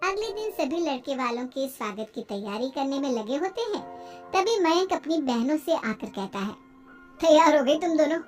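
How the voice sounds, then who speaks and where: Female storyteller for cartoon tales: very high voice, expressive delivery, faint music underneath. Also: normal speed. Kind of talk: scripted cartoon story narration.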